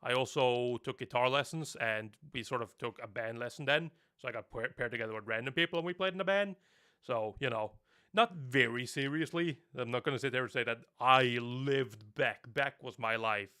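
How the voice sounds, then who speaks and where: A man's voice: medium pitch, moderate pace, bright, forceful tone, clear, resonant tone. A young man from Norway, a native Norwegian speaker talking in English, chatting casually on a live stream, rambling and laughing often.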